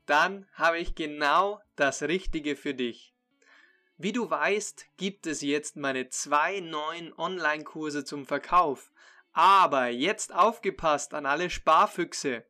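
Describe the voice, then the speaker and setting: A man who teaches German online talks slowly and deliberately, laying out a course sale straight to the viewer. His voice is bright and clear, sitting a bit high for a man. Soft instrumental music plays under him. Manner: slow, deliberate, addresses the listener directly. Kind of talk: promotional sales pitch to learners.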